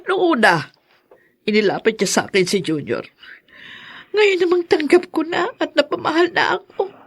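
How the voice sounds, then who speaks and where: An actress playing an aggrieved, sentimental older grandmother with a plaintive, halting delivery in a radio drama. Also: high-pitched, big pitch swings. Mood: tearful, pleading.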